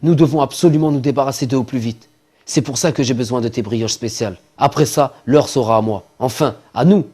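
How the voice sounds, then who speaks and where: A man's voice: medium pitch, fairly quick, mellow, breathy edge, close to an everyday voice. An actor playing a greedy, cowardly, scheming man in a French-dubbed cartoon. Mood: urgent, excited. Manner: placating and pleading aloud, conspiratorial when plotting alone. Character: greedy cowardly scheming male treasure finder. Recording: music under the voice.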